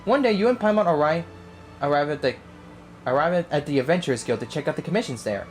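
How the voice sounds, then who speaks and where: Male game streamer, casual and slangy, animated delivery, faint music underneath, stumbles and restarts phrases. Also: on the high side, quick pace. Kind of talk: casual live game commentary.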